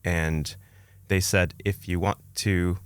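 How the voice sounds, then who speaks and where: A man's voice: deep voice, fairly even intonation, slow, clear, resonant tone, no room sound. A man, telling his own story conversationally as a podcast guest. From Canada, born in Romania.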